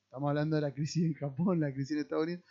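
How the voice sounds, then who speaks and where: A man's voice: on the high side, fairly even intonation, racing, warm tone, highs cut off. A man with a Rioplatense accent giving casual political commentary as a podcast co-host, explaining things analytically. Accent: Rioplatense Spanish.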